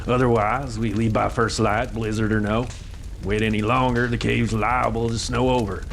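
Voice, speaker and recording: An actor playing a gruff, practical frontier guide who talks bluntly and folksily. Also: male voice, fairly low pitch, level tone, moderate pace, a little hiss or hum.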